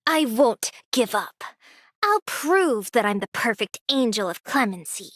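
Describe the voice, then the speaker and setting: A high, piercing anime game girl who thinks herself a perfect angel. She is haughty, petulant and hot-tempered. The delivery is animated and theatrical. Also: unhurried, dry recording, clean studio sound, heavily acted. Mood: boastful. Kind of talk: scripted anime game dialogue.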